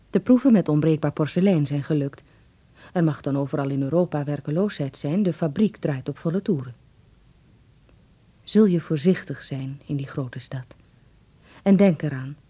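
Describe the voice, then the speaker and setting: Very dark-voiced, tender mother. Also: sits low, slightly rough. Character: affectionate, watchful mother writing letters to her child. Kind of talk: radio drama letter monologue.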